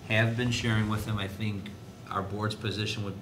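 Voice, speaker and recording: Man, administrator at a public meeting, low-pitched, halting delivery, noisy roomy recording. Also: level tone. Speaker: school district administrator reporting to board. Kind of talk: school board meeting discussion. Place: indoor board meeting room. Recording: noisy, echoey meeting room with low rumble.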